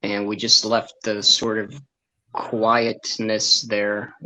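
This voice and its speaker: A man from Israel, a band member guest, answering interview questions in a thoughtful, hesitant way with many pauses. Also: lowish voice, completely flat delivery, sharp tone. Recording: dull lo-fi sound.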